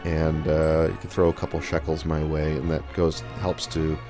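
A man's voice: extremely low pitch, monotone, moderate pace. An American man in his thirties hosting a podcast with casual announcements, speaking in a hesitant, rambling way with frequent self-corrections. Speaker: podcast host. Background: background music.

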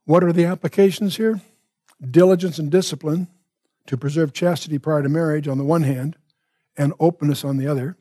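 Male Bible teacher, higher-set voice with a husky edge, deliberate and emphatic expository delivery with asides. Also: dark tone, dry recording, studio quality.

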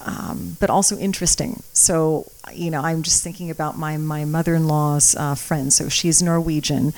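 A woman's voice: sits low, steady tone, moderate pace, darkish tone, rough recording. A middle-aged American woman, a native Croatian speaker, chatting as a guest in a podcast interview. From Napa Valley, California, US. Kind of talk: conversational interview. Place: office.

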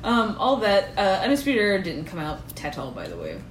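A woman's voice: mid-range voice, huge pitch swings, brisk. Woman podcast co-host, rambling and giggly, casual chat, roomy and noisy recording. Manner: rambling and giggly, with lots of fillers. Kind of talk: casual chat about wrestling. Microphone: shared recorder. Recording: echoey room with background noise.

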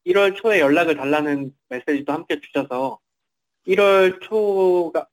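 A man's voice: a little high, moderate pace, rough recording. A man reporting in a semi-formal interview, somewhat hesitantly, often repeating and rephrasing his words.

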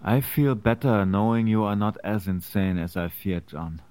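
A man acting as a weary, doubtful but loyal immigrant conspirator in an 1860s period drama, speaking earnestly and deliberately. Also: fairly low pitch, a little mellow, clean tone, lightly acted. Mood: resigned, wary. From Prussia (character).

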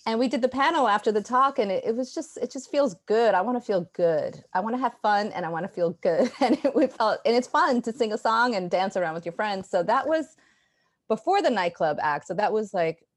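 Lively New woman on a call. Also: a little high, brisk. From New York, USA. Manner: animated, laughing often, restarts. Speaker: guest artist. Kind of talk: casual interview chat. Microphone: video call.

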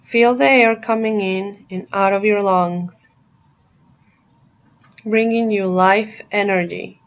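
A woman narrating a guided meditation in a soothing, deliberate manner, pausing between short phrases. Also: medium pitch, fairly even intonation, dull audio.

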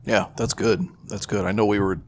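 A man's voice: fairly low pitch, level tone, moderate pace. A man co-hosting a podcast, talking casually and slangily about pop culture with some swearing. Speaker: podcast co-host.

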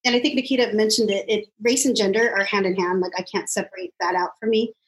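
A woman's voice: mid-range voice, steady tone, fast talker, rough recording. A woman in her forties talks as a podcast guest. Her manner is casual and chatty, and she laughs easily. Her voice sits in a middle range. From Los Angeles, United States. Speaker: guest, doctoral candidate and youth minister. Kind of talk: casual conversation.

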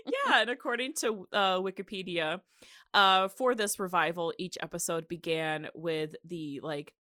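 Podcast host, young woman: lowish voice, loose conversational rambling, dry close sound. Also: measured pace, pushed and bright, dry recording. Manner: rambling, heavy use of "like". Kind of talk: casual podcast chat.

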